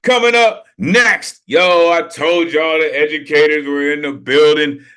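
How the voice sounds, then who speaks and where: A man emceeing an online poetry open mic through a video call. He talks with loose, hyped energy, piling up slang, shout-outs and "you know what I mean" as he hands the floor to the next poet. His voice sits a little higher than most men's. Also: unhurried, clear, resonant tone.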